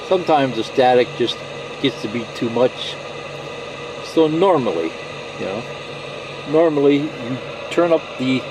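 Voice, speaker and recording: A man giving a casual, unscripted how-to demonstration in a folksy, reassuring way, often checking in with small tags like "okay". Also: mid-range voice. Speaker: presenter of a CB radio how-to video. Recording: clearly noisy, with static and hum. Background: CB radio static hiss.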